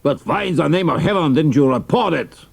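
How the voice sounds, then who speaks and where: A man's voice: on the high side, lively intonation, fairly quick, creaky, slightly rough, no echo, voice pushed up, heavily acted. A man voicing an authoritative German count, a commanding officer who speaks brusquely in a dramatised wartime adventure story. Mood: startled, demanding. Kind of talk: dramatised audiobook character dialogue.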